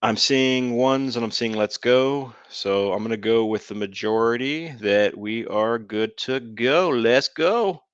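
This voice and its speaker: A man's voice: medium pitch, measured pace, few pauses, clean tone, dry recording. A man streaming a game, chatting casually with his viewers and laughing often. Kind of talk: casual live-stream commentary. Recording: dull lo-fi dry sound.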